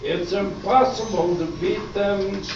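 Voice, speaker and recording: Cheerful singing banana mascot played by a man, high and slow jingle, strongly echoing room. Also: fairly even intonation, a little mellow, a little hiss or hum. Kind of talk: sung commercial jingle in amateur radio play. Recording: very echoey, distant, muffled stage recording.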